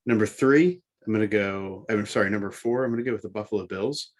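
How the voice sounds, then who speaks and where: Male sports podcast co-host, lower register, giving casual countdown commentary. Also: fast talker.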